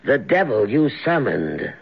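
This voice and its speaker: A man acting a stern, grave master of the occult in an old-time radio drama, speaking deliberately and theatrically. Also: mid-range voice, sing-song, gravelly, breathy edge, exaggerated voice. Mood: grave, accusing. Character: stern male occult master lecturing his wayward disciple. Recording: muffled old radio broadcast recording.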